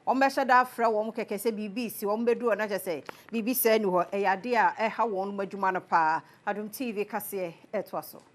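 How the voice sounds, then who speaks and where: A woman's voice: medium pitch, fairly even intonation, a little fast, few pauses. A woman with a Ghanaian accent presenting scripted television news.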